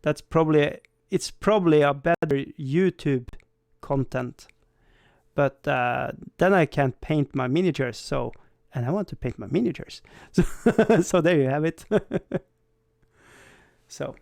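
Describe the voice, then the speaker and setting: A young man speaking English, his voice sitting a little high for a man. He rambles casually to his livestream chat, stumbling over restarts and laughing at himself. The sound is close and dry, with no room echo. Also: unhurried, vocal fry, darkish tone. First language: Swedish. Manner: rambling, self-deprecating, frequent stammered restarts and laughs. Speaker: livestream host.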